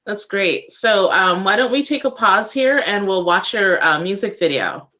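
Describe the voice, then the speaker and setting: A woman's voice: mid-range voice, lively intonation, moderate pace, pushed and bright. A woman hosting a casual interview, giggly and agreeable as she talks. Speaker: interviewer, radio host.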